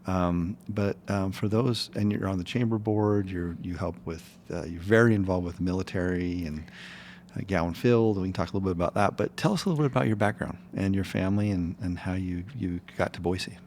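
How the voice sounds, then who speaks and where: A man's voice: low-pitched, a little fast, darkish tone, a little hiss or hum. A middle-aged man hosting a podcast interview, talking in a chatty, rambling way with many restarts. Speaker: podcast host.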